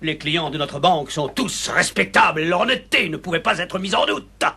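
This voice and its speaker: A pompous, indignant English banker from a cartoon, blusters in a high, forceful, creaky voice. His delivery is emphatic and hot-tempered, with slight noise behind it. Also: normal speed, heavily acted. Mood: indignant, firm. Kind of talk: dubbed cartoon acting.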